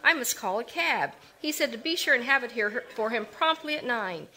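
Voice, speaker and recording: A woman playing a cheerful, trusting young wife in 1940s radio acting style, warm, reassuring and brisk. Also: a little high, expressive.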